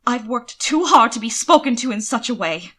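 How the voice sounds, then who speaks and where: A woman voicing an indignant young gentleman prone to giggles in a dramatic audiobook reading. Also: fairly high pitch, expressive, moderate pace.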